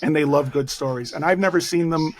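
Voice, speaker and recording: A man's voice: on the high side, brisk. Bronx-accented man, a podcast guest. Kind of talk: casual interview conversation.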